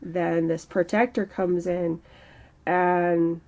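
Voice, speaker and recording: A woman in her early thirties speaks in a slow, halting, reflective way, with a strained throat. Her voice is mellow and dark, with a gravelly edge, and sits a little low for a woman. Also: flat delivery, highs cut off. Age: early 30s. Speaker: channel host. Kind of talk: personal mental health vlog monologue.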